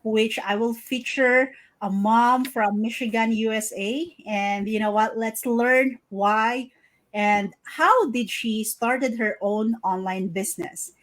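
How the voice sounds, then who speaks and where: A woman's voice: on the high side, takes it slowly. Upbeat, chatty woman hosting a live show. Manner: upbeat, chatty, repeats greetings and praise. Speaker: host of a live interview series. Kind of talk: casual live-stream interview.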